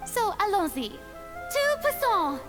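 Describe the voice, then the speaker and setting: A woman's voice: very high voice, big pitch swings, unhurried, over music, exaggerated voice. A woman voicing a theatrical young stage star in a video game, grandly self-assured, dramatic and easily flustered. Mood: grandly confident. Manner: dramatic, giggly, pompous.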